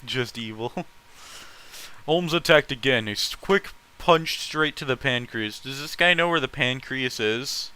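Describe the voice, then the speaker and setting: A man's voice: mid-range voice, unhurried, bright, forceful tone, a little hiss or hum. A man reading a story aloud and breaking off for blunt, exasperated, sweary commentary. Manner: exasperated, blunt, incredulous asides. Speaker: host reading fan fiction aloud.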